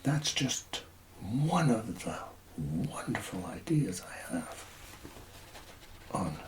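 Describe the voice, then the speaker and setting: A man doing a soft-spoken ASMR roleplay as a tax collector, hesitant and conspiratorial, often dropping into whispers. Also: on the low side, sing-song, takes it slowly, slight background noise.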